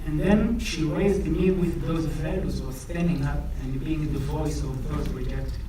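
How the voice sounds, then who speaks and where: Man, echoey theater. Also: fairly high pitch. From Congo. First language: French. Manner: halting, restarts phrases, searches for words. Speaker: film subject in onstage Q&A. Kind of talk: onstage Q&A answer. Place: theater stage. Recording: distant, echoey theater recording with noise.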